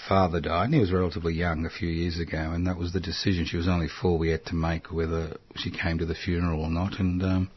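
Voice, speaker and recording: A chatty older man hosts a radio chat, his voice very deep and husky. The recording is dry and a little dull. He jokes with his guest and asks friendly questions. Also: fast, few pauses, no room sound. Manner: chatty, jokey interviewer with lively rises. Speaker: radio host. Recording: dull lo-fi sound.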